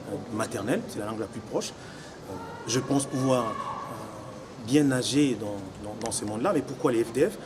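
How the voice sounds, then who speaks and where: Deliberate man answering questions over background noise. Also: medium pitch. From Congo, living in Belgium since 1984. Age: young adult. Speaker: local election candidate. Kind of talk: semi-formal interview answers. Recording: noisy location with background ambience.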